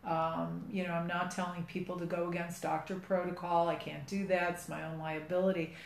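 A middle-aged woman hosting a podcast about her skin care company, speaking in an earnest, persuasive, rambling way with a low voice. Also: level tone, a little fast, vocal fry. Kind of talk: casual podcast chat. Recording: echoey room.

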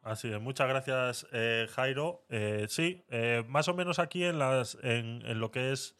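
Presentador español de podcast en directo. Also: male voice, mid-range voice, measured pace, fairly bright tone, clear, resonant tone. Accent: Castilian Spanish. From Spain. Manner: conversational, hesitant, fillers. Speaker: podcast host. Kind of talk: live podcast chat.

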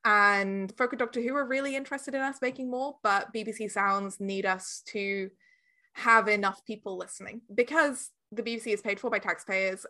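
A woman's voice: mid-range voice, sharp tone. A woman chatting casually as an interview guest, speaking in an earnest, emphatic and informal way. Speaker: interview guest, audio drama director and producer.

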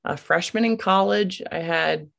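A woman in her late twenties from Michigan, a guest on a podcast, talking earnestly with light laughs and pauses. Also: on the low side, creaky, fairly bright tone. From Grand Rapids, USA. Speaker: podcast guest, business founder. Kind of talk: casual interview.

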